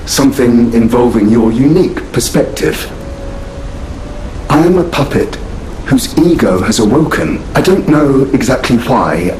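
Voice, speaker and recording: An actor playing a refined, lovelorn puppet manservant in a video game, earnest, deliberate and formally gentlemanly. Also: male voice, close to an everyday voice. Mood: frank, formal. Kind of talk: scripted video game dialogue. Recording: roomy echo, faint rumbling noise.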